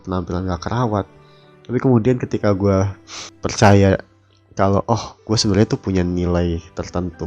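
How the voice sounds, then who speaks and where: A man's voice: sits low, dark tone. A young man from Depok, hosting a solo late-night radio talk show while down with the flu, speaking casually and sometimes groping for words. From Depok, West Java. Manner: casual, searching for words, heartfelt. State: has the flu. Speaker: radio host.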